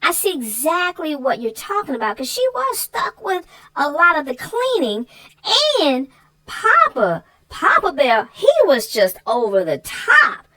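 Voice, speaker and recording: A woman casually discussing a children's story, animated and walking through points one by one. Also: sits high. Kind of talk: casual chat about a children's book.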